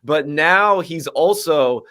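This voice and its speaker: A man's voice: on the high side, takes it slowly, bright, forceful tone. A man hosting a sports podcast, chatting casually with wry, sarcastic asides. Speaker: podcast host.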